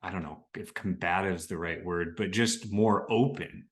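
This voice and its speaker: A man hosting a podcast, with a naturally deep voice, musing in a loose, casual way. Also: moderate pace. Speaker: podcast host. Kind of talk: conversational interview.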